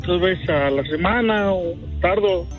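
A man's voice: high-pitched, unhurried, soft background music. A man answering questions on a phone call, hesitant and full of fillers, keeping his answers short. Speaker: prank call victim.

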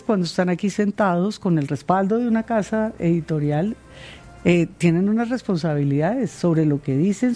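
A woman, answering interview questions in a measured way, with many pauses and fillers. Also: on the low side, warm tone. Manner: measured, hesitant with fillers and repeated words. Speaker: interviewed guest.

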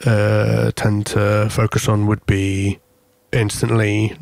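A man's voice: fairly low pitch, level tone, takes it slowly, gravelly, a little mellow, no echo. A man in his thirties who grew up in Greece, a musician giving thoughtful, hesitant interview answers full of restarts. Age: 30s. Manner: hesitant, many restarts and fillers. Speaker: musician guest.

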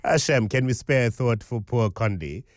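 A man hosting a morning radio show, chatty and joking, his voice slightly croaky from a cold. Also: mid-range voice, animated, normal speed, no echo, clean studio sound. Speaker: radio breakfast show host. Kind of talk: casual morning radio chat.

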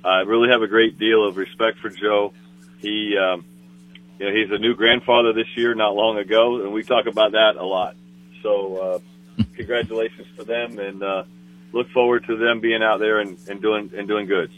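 Male co-host calling in on a tinny phone line, halting, repetitive speech. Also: fairly low pitch, little pitch movement. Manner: halting, repeats words, many fillers. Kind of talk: casual motorsport chat.

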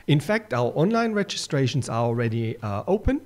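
A man with a German accent speaks as a guest on a radio interview. His voice sits at a middle pitch, and he talks with earnest enthusiasm about his volunteer club, stumbling now and then into restarts. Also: sing-song, brisk. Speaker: surf club secretary and media manager.

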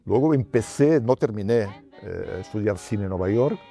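Middle-aged man, mellow, reflective talker. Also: lowish voice, lively intonation, normal speed, creaky, soft background music. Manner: reflective, checks in with tag questions. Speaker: documentary filmmaker. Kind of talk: reflective interview talk.

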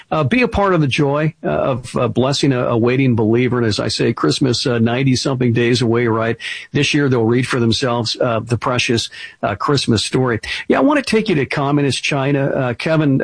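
A man making an earnest fundraising appeal on a radio show, pausing often with "uh" fillers. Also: medium pitch, breathy edge, no room sound. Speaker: ministry representative on a radio show. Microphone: studio microphone.

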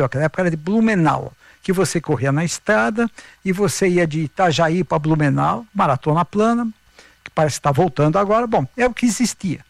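A man's voice: high-pitched, no room sound. A Brazilian man in his fifties, a marathon runner, telling stories animatedly in a casual interview. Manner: animated, acts out quoted voices.

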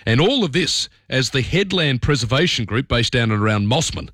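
A middle-aged Australian man hosting talk radio, brisk and opinionated in a conversational way. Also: mid-range voice, animated, a bit bright, no echo, clean studio sound. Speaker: radio host. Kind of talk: talk radio commentary and interview.

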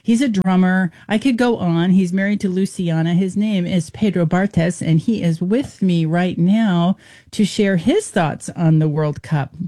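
A man hosting a radio show, lively and effusive as he talks with a guest. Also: high-pitched, a little slow. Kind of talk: casual radio interview.

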